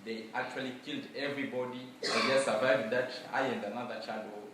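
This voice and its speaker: A man's voice: medium pitch, steady tone, heavy background noise. A young man from Congo whose first language is Mashi, speaking earnestly about his life with many fillers. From Democratic Republic of Congo. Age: young adult. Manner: earnest, measured, with frequent fillers. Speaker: student presenter. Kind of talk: personal testimony and Q&A. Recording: echoey room, far mic.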